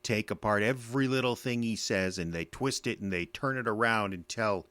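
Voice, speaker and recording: An actor with an American accent playing a bitter, defensive middle-aged army veteran in an audio drama, gruff, sardonic and curt. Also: male voice, lowish voice, normal speed, a bit bright, no echo, natural voice. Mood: bitter, argumentative.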